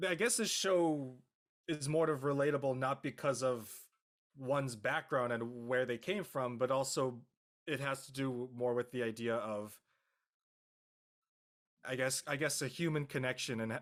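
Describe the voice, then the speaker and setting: A man with a mid-pitched voice introduces himself casually as an actor on a group call. He talks haltingly, often repeating words as he finds his way. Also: fairly bright tone. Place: online group video call.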